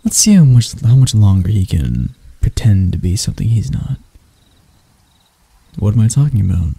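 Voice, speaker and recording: A man playing a husky, dark-voiced proud elf royal. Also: lowish voice, animated, moderate pace, no room sound, full character voice. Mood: scornful, taunting. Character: proud, mocking elf royal interrogating an enemy knight. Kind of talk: ASMR roleplay monologue.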